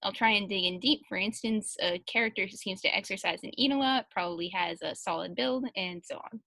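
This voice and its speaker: A woman's voice: mid-range voice, a little fast, bright, forceful tone, dry recording, low-quality recording. A woman chatting casually on a Q&A panel and reading answers aloud as a translator.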